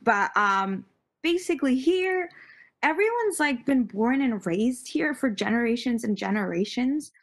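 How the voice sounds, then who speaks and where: A woman's voice: a little high, animated, a little slow. A young American woman, a podcast guest, chatting casually with bubbly enthusiasm and frequent laughter. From United States (born Virginia, raised Connecticut).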